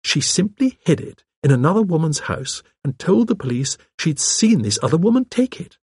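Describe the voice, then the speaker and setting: A gentle old spinster, played by a male narrator, musing primly in a raised, slightly husky voice. She is shrewd and digressive, a gossipy village lady from an audiobook mystery. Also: fairly high pitch, very animated, normal speed, no echo, studio quality, voice pushed up, full character voice. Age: older. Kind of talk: audiobook character dialogue.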